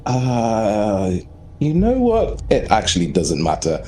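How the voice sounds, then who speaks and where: A man's voice: lowish voice, wildly swooping pitch, takes it slowly, mellow, slight background noise, heavily acted. A man playing a nervous, evasive vault engineer in a tabletop game, hesitant and apologetic. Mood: nervous, sheepish.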